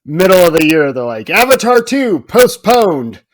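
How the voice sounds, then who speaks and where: Lively higher-voiced man, casual podcast co-host. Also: unhurried, poor audio. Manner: self-deprecating, informal, exclamatory. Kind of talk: casual chat.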